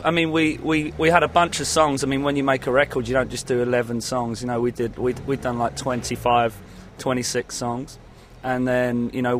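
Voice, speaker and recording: Man rambling casually, faint traffic noise. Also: medium pitch. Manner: rambling, hesitant, peppered with 'you know'. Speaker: musician being interviewed. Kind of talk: casual interview talk.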